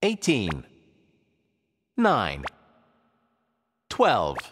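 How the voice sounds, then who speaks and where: A man voicing an upbeat cartoon quiz show host in a video game, with a theatrical, drawn-out announcer delivery. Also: fairly low pitch, huge pitch swings, fairly bright tone, breathy edge, exaggerated voice. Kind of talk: scripted game show hosting. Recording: reverberant studio with crowd noise.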